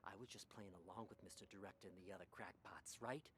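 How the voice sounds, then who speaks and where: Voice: low-pitched, quick pace, a bit bright, slight background noise. An actor playing a wary undercover hospital patient on a hunt, in a radio drama. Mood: wary, serious.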